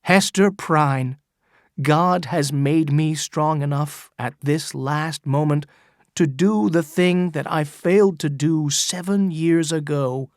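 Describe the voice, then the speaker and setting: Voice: mid-range voice, slow pace, a little mellow, no echo, clean studio sound. A storyteller voicing a dying young male minister who speaks in a solemn, declaiming way. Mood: resolute.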